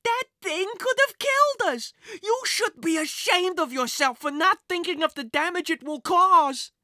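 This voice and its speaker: A narrator voicing an outraged mother who shouts as she scolds, in a children's storybook. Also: extremely high pitch, expressive, normal speed, dry recording, clean studio sound, pitched above the performer's own voice, full character voice. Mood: furious.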